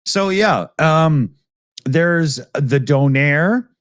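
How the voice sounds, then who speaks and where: Laid-back Canadian man, slow drawl. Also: fairly high pitch, dry recording, clean studio sound. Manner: joking, laughs often, swears casually. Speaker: podcast co-host. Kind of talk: casual chat.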